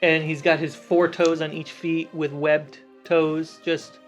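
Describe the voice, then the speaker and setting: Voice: medium pitch, level tone, takes it slowly. Game master with music underneath. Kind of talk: narrating a tabletop roleplaying game.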